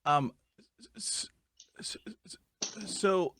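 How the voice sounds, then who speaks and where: A man's voice: fairly high pitch, extremely slow, pushed and bright, smooth, whispered. A middle-aged man who stutters, hosting a podcast, chatting with many fillers. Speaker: podcast host. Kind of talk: casual interview talk.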